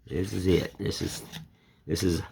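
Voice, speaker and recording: A man's voice: low-pitched, steady tone, a little slow, vocal fry, warm tone, husky. An older American man with a folksy, plainspoken way of talking, rambling in a casual chat while he shows his woodcarving. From United States. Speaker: woodcarving channel host.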